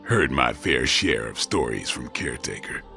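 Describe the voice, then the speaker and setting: An actor playing a cool, gruff vampire hunter with a sardonic, dry manner in a video game. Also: male voice, rumbling low, big pitch swings, normal speed, bright, forceful tone, faint music behind, heavily acted. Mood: matter-of-fact.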